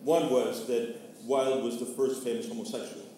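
Mellow man in a very echoey room. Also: medium pitch, level tone, normal speed. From Venezuela. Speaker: panelist, theatre artist discussing a play. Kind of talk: thoughtful discussion. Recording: distant mic, echoey room, faint noise.

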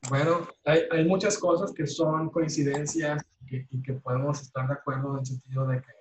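A man's voice: on the high side, normal speed, slight background noise. Chatty man in an echoey room. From Mexico. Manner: relaxed, chatty, repeats words while thinking. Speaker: economics professor and guest. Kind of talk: conversational interview.

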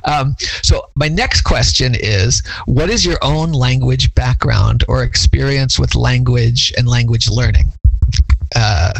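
A man in the interviewer's seat asks thoughtful questions in a gravelly, fry-tinged voice at an everyday pitch, often restarting his sentences as he goes. He sounds close and dry, with no room around him. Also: slow pace, no echo, rough recording. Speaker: interviewer, legal language scholar. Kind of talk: conversational interview.

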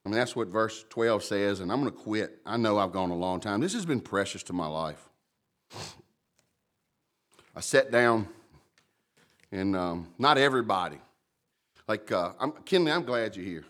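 A pastor delivers a sermon in a big, booming voice. He swings from loud emphatic lines to hushed, warm asides. His tone stays conversational, and he addresses congregants directly by name. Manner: lively, conversational preaching, calls out congregants by name. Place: church.

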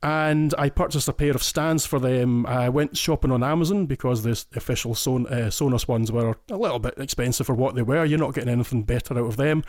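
A man from Scotland with a Scottish accent, chatting as a podcast guest. He goes into eager detail and keeps restarting his phrases. His voice sits in a middle pitch and sounds dry and close, with no room around it. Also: brisk, hardly any pauses, a little mellow, dry recording. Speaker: listener guest. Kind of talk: casual podcast chat.

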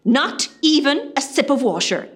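Stern hospital nursing director played by a woman, high pitch, slow deliberate scolding, radio comedy. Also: big pitch swings. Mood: stern, exasperated. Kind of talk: amateur comedy radio play acting.

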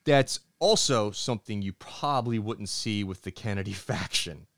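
A middle-aged man co-hosting a casual podcast, talking in an animated, opinionated way and acting out quotes in other voices. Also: on the low side, normal speed. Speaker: podcast co-host.

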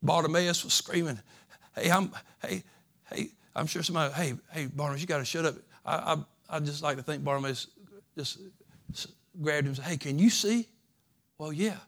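A man preaching a sermon in a slightly high voice. He talks in a folksy, repetitive way, moving from soft conversational stretches into loud, fervent preaching. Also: normal speed. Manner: folksy, repeats phrases. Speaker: pastor.

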